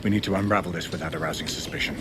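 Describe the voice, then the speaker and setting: An actor playing a decisive veteran commander of Victorian knights in a game, with clipped authority. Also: male voice, low voice, fairly even intonation, rapid-fire, noisy background, lightly acted. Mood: resolute. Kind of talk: dramatic game cutscene acting.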